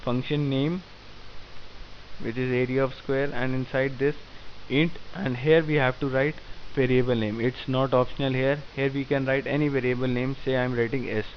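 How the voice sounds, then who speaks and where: A man's voice: medium pitch, level tone, normal speed. A man teaching programming in a screen-recorded tutorial, explaining code step by step in a methodical way. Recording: dull lo-fi sound with faint hiss.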